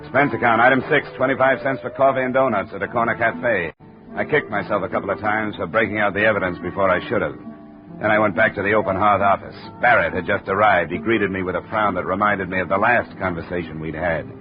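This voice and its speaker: An actor playing a dry, wry insurance investigator who narrates in first person, in a 1950s radio drama. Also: male voice, fairly low pitch, fairly even intonation, quick pace, natural voice. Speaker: narrator and lead. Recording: muffled old radio broadcast with music.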